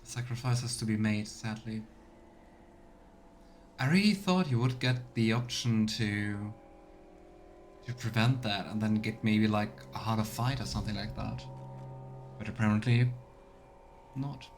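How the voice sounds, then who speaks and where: A man's voice: lowish voice, measured pace, long pauses, slight background noise. A man doing a casual voiced let's play, musing and thinking aloud as he comments. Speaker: streamer, let's player. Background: faint game music.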